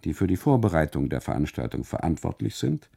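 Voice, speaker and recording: A man's voice: low-pitched, big pitch swings, fairly quick, gravelly, heavily acted. A man playing a boastful, aggrieved café custodian in a literary radio monologue, calling out emphatically and excusing himself. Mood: indignant, defensive. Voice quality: loud, calling out. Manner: emphatic, self-justifying.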